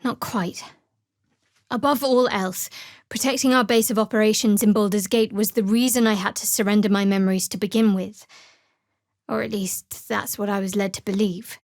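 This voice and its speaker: An actress playing a guarded, sardonic young priestess of a dark goddess in a video game, with a dry, secretive manner. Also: female voice, mid-range voice, steady tone, normal speed, dry recording, lightly acted. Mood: solemn, guarded.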